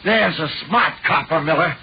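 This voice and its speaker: An actor playing a cocky small-time crook in a 1940s American radio drama, talking tough, slangy street talk. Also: male voice, a little high, normal speed, natural voice. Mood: defiant, agitated. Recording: muffled, noisy 1940s radio recording.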